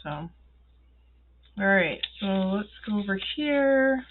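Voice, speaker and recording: A congested woman talks slowly and rambles through her planner, pausing often with ums. Her voice sits mid-range and sounds stuffy and unwell. The sound is thin and tinny, like a phone line. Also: mid-range voice, expressive, slight background noise. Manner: slow, rambling, many ums and pauses. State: congested, feeling ill. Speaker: planner vlogger. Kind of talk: casual talk while filling in a planner. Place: indoors at a wooden desk.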